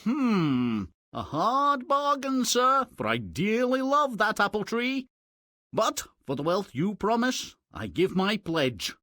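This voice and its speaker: Melodramatic, swooping poor miller. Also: male voice, sits high, wildly swooping pitch, takes it slowly, bright, forceful tone, no room sound, clean studio sound, heavily acted. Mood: calculating. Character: poor miller, greedy, self-justifying father. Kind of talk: theatrical video game fairy tale acting.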